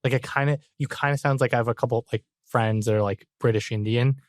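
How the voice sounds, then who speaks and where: A man's voice: medium pitch, fairly even intonation, fast talker, no room sound. Chatty male podcast host, dry sound. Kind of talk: casual podcast interview.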